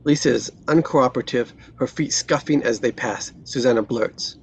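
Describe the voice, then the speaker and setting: A narrator reading screenplay stage directions at a table read, in scripted present-tense narration with a husky voice. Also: mid-range voice, normal speed, a little hiss or hum.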